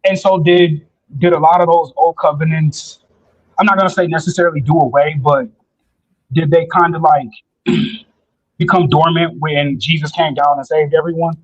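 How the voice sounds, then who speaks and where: Male caller, slightly high rough-edged voice, casual chat, close dry sound. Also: steady tone, moderate pace, no echo, highs cut off.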